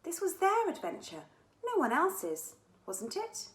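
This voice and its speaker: A woman reading a children's story aloud as its author, in an expressive storytelling manner. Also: very high-pitched, measured pace. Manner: expressive, with dramatic character dialogue. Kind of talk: scripted children's story narration. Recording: echoey room sound.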